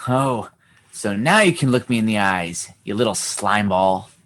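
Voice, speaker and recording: A mean, gloating child prankster played by a man in a comic radio play. The voice swings widely in pitch, taunting and mocking with scornful relish. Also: animated, a little slow, fairly bright tone. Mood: menacing, taunting. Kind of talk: comedy radio theatre acting.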